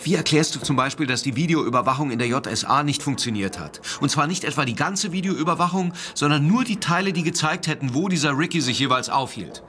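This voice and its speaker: An actor playing an agitated police investigator in a German radio drama, arguing urgently and persuasively. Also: male voice, on the high side, lively intonation, quick pace, hardly any pauses, pushed and bright. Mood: insistent.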